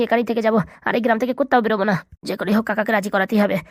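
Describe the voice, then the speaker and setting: A woman's voice: on the high side, no room sound, clean studio sound, lightly acted. A woman voicing a poor, desperate young runaway man in a comedy cartoon, pleading meekly. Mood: anxious resolve. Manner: pleading, meek.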